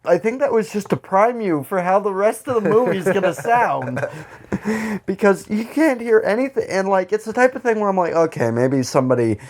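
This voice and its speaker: A man co-hosting a podcast in casual comedic banter, rambling and halting as he goes and often laughing. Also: high voice, animated. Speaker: podcast co-host.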